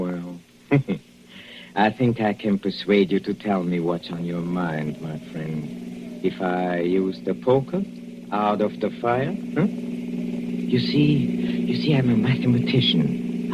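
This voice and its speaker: A man's voice: low voice, expressive, takes it slowly, noisy background. An actor playing a scheming male professor who is poisoned and breaks into stammering pleas, in a 1940s radio drama. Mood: menacing. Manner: stammering, pleading then shouting. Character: panicking poisoned male professor, mathematician killer. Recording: dull old radio broadcast.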